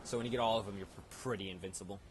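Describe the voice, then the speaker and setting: Male gamer, lowish voice, casual rambling let's play commentary, unsure and self-correcting, very noisy home recording. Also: fairly even intonation, rapid-fire. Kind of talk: casual off-the-cuff game commentary.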